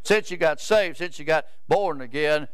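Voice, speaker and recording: A man's voice: fairly high pitch, moderate pace, clean tone, no room sound. A man, preaching a rambling, folksy sermon in a church sanctuary and often stopping to ask "Amen?". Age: 70s. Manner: rambling, repeats words, asks "Amen?" of the crowd.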